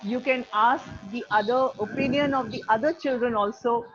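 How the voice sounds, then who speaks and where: A woman's voice: a little high, normal speed. A woman with an Indian accent, speaking as a teacher in an encouraging, effusive way to her online class. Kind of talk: casual spoken feedback to students. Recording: muffled video-call audio with faint noise.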